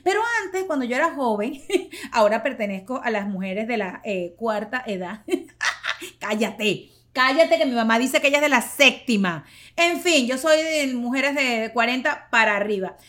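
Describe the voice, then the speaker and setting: High, bright-voiced woman host. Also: expressive, normal speed. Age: 40s. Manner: chatty, playful, laughs often. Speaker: podcast host. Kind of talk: casual storytelling monologue.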